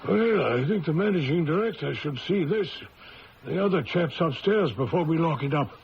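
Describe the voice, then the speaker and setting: A man's voice: on the high side, expressive, moderate pace, gravelly. An actor playing a flustered, hesitant older mine director in a radio drama, stammering over his words. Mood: admiring. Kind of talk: 1970s radio drama acting. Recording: muffled old radio broadcast with faint hiss.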